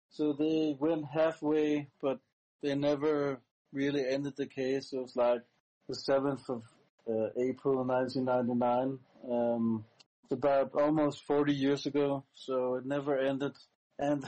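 A Danish man whose first language is Danish, speaking English in a casual, rambling live-stream monologue, halting with many fillers and restarts, blunt and crude. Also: medium pitch, dark tone, low-quality recording. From Denmark.

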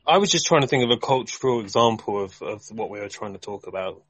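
Lower-voiced man with dry sound. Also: lively intonation, quick pace, fairly bright tone, no room sound. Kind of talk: casual discussion.

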